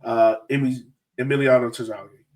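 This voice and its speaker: A man's voice: mid-range voice, steady tone, normal speed, darkish tone, slight background noise. A man hosting a sports fan podcast, chatting informally and enthusiastically. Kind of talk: casual panel chat.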